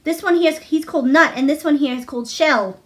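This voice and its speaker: A woman's voice: high-pitched, fast, fairly bright tone. A woman voicing a cheerful young Yorkshire country boy with eager, folksy dialect speech in a storybook reading. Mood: cheerful, fond.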